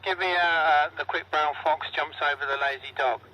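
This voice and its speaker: A man's voice comes through a walkie-talkie, fairly high and very bright. He speaks casually, as in a two-way radio check, over faint noise. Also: steady tone, normal speed, poor audio. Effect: heard through walkie-talkie radio. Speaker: host.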